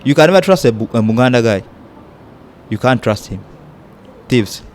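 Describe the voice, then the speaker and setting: A man's voice: medium pitch, animated, normal speed, a little mellow, a little hiss or hum. Blunt, emphatic young man from South Sudan, guest in a candid interview. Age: young adult. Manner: blunt, emphatic, mimics people in quotes.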